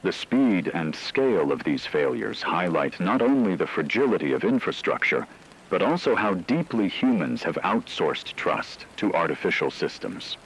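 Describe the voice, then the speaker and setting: A man voicing a grave radio news anchor, reading a scripted emergency broadcast in a formal, measured way. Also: sits low, very animated, breathy edge, heavily acted, low-quality recording. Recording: radio-style broadcast with faint hiss, rain-like noise.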